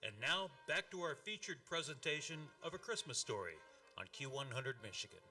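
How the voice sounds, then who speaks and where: Very bright, sharp-voiced male radio announcer. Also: on the high side, sing-song, a little fast, far from the mic, faint music behind. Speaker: station announcer.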